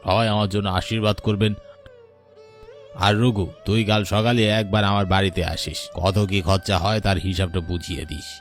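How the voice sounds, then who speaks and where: A male actor voices a cartoon village politician with a lowish pitch. He speaks formally and with measured persuasion, like someone earnestly making promises to villagers. Music plays behind him. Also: level tone, natural voice. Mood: businesslike. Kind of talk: dramatized cartoon dialogue.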